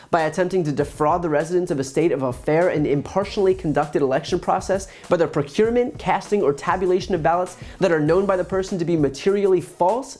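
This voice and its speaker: A man's voice: high-pitched, darkish tone. A man in his thirties with an American accent, giving scripted political commentary to camera in an emphatic way with sarcastic asides, on a lapel mic. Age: 30s.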